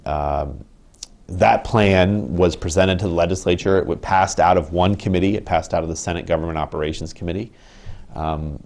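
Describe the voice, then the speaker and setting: A man in his thirties speaking as a union representative in an interview, measured and thoughtful, with frequent ums and restarts. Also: deep voice, a little mellow. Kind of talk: interview answers. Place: indoor office with wood paneling.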